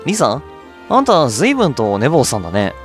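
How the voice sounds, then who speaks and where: A man's voice: mid-range voice, huge pitch swings, moderate pace, soft background music. A man voicing a brash, stubborn woman gambler with a bossy, boisterous manner in a game. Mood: brash, teasing.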